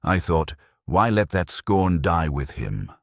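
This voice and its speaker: A man voicing a proud, scornful woman schemer in a mystery story, speaking in a cold, sharp, deliberate way. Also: low-pitched, animated, gravelly, no room sound, clean studio sound, exaggerated voice. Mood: sharp, bitter.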